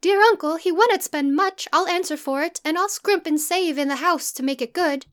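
A woman's voice: very high-pitched, normal speed, pitched above the performer's own voice. A woman voicing an earnest young daughter, speaking eagerly and pleadingly.

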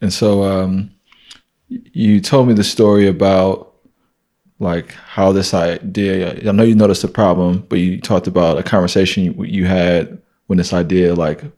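A man's voice: sits low, steady tone, moderate pace, dark tone. A man hosting a casual interview, asking loose questions with plenty of fillers. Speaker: podcast host.

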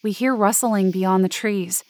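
A woman's voice: mid-range voice, steady tone, measured pace, a little mellow, no room sound, close to an everyday voice. A young woman playing an anxious but determined narrator in a supernatural audio drama, earnest and descriptive. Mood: uneasy, hushed. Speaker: narrator and lead character.

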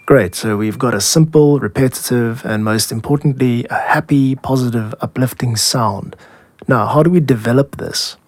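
A man with a South African accent hosting a podcast, explaining in a measured, scripted style. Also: medium pitch. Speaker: podcast host, music composer and producer.

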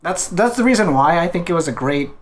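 A man's voice: on the high side, animated, a little fast, slight background noise. A man talking casually and conversationally as a panelist in a group discussion. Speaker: podcast panelist. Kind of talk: casual chat.

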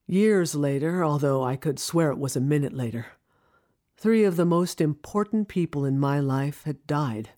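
A woman's voice: low voice, moderate pace, mellow. A woman performing scripted first-person dramatic narration in a reflective, intimate storytelling manner.

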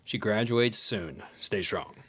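A man playing a smug, easygoing high school teacher in a naturalistic audio drama, casual and self-satisfied. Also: slow, sharp tone, breathy edge, heavily acted. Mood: dismissive, teasing.

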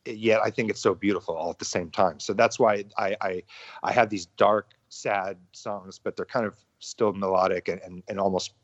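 A man with a somewhat low voice talks through a dull, lo-fi signal in a dry, close sound. He stumbles and restarts often, swears easily and chuckles between thoughts. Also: fairly even intonation, no echo. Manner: halting, repeats words, chuckles, swears freely. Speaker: podcast guest, musician. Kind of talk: casual interview talk. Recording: muffled lo-fi sound.

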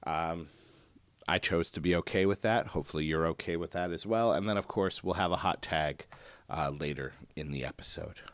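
Deep, soothing male podcast host. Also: moderate pace, no room sound. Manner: relaxed, digressive, frequent "uh" and "um". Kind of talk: casual solo podcast talk.

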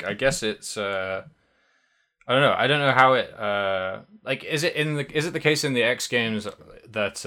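Bright-voiced man in casual podcast chat. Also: fairly low pitch. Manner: rambling, lots of fillers and restarts. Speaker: podcast co-host. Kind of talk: casual chat about video games.

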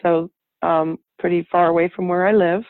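A woman's voice: fairly low pitch, little pitch movement, normal speed, no echo. A woman from Paris with French as her first language, telling her personal story conversationally as an interview guest. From born and raised in Paris, France, to American parents. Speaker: interview guest, health advocate. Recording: muffled, band-limited remote line.

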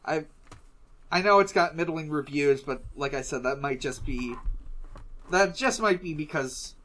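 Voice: medium pitch, a little slow, fairly bright tone, slight background noise. A podcast host chatting casually and reading out listener questions, hesitant and often trailing off mid-thought.

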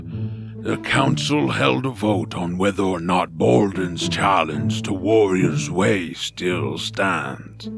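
A man voicing a solemn, honorable orc warrior, formal and grave, in a family audio drama. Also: heavily acted. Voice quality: deep, gravelly. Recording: loud music under the voice. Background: loud background music.

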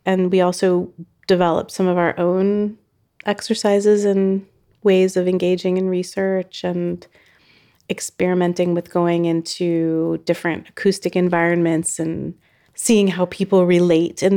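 Low, gravelly-voiced woman musing aloud. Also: flat delivery, a little slow, dark tone. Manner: thoughtful, loosely phrased with frequent "like". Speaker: sound artist and researcher. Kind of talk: reflective interview talk.

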